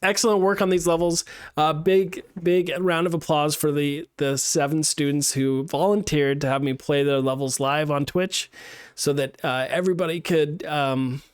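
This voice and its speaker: A game design instructor reviewing a student level on a live stream, thinking aloud with many fillers and restarts while his voice is getting hoarse. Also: on the high side, steady tone, moderate pace, no room sound, clean studio sound. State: losing his voice. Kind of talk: live-streamed playtest feedback.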